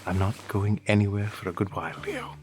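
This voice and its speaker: A man playing a gentle, loving tailor father in an audio drama, tender and hesitant with a breathy voice. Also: on the low side, flat delivery, brisk, faint music behind.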